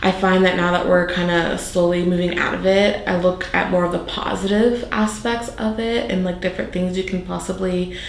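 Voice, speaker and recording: A woman's voice: lowish voice, flat delivery, normal speed, creaky, hardly any pauses, roomy sound, slight background noise. A young woman in her twenties from South Carolina, answering interview questions in a chatty way with many fillers. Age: 20s.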